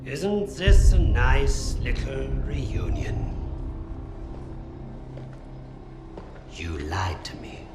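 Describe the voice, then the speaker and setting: A cold, menacing villain for a war game, interrogating with slow, deliberate control. His pitch swings hugely from hushed threat to bark, in an echoey room under loud music. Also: sing-song. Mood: cold menace. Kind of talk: cinematic video game acting.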